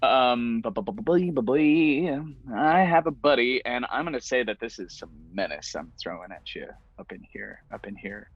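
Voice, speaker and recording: A man's voice: medium pitch, lively intonation, moderate pace, hardly any pauses, breathy edge, no echo. A man chatting casually and playfully as a player in a tabletop roleplaying game. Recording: slightly dull lo-fi mic, music behind.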